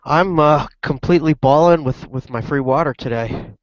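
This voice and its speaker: A man's voice: a little high, moderate pace, lo-fi sound. A man with a cold-stuffed voice, a reporter rambling through a casual web broadcast chat with many restarts and fillers. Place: airport lounge.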